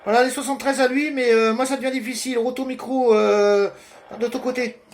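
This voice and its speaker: A man's voice: very high-pitched, steady tone, brisk, slight background noise. A man chatting casually during an amateur radio contact, as one of the operators.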